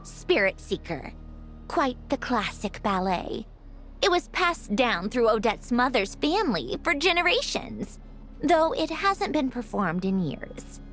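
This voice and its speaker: A woman playing a composed, analytical young woman in a video game, frank and measured. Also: a little high, sing-song, fairly bright tone. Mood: reflective, appreciative. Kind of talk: video game dialogue acting. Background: game music.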